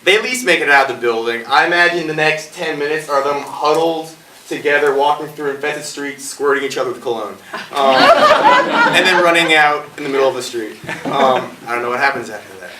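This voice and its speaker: A man's voice: fairly high pitch, sing-song, fairly quick. Rambling young man, echoey distant recording. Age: 20s. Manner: rambling, jokey. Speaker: playwright and director. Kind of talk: informal audience Q&A. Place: indoor performance space, recorded from afar. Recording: echoey room, distant mic, noisy.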